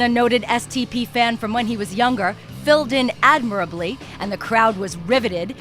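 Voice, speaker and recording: A woman reporter narrating an entertainment news segment in a smooth, upbeat scripted delivery. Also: medium pitch, fairly bright tone.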